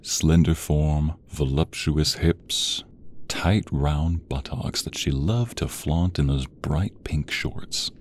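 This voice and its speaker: A man reading as a smitten, sensual narrator of a romance story, slow and intimate, often sinking into a breathy whisper. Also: extremely low pitch, heavily acted. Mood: sultry, brooding.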